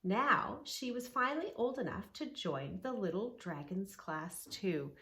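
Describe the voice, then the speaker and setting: A woman's voice: mid-range voice, big pitch swings, measured pace, bright, forceful tone. A woman hosting a children's storytime, narrating expressively and speaking directly to young listeners. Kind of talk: children's read-along storytelling with asides.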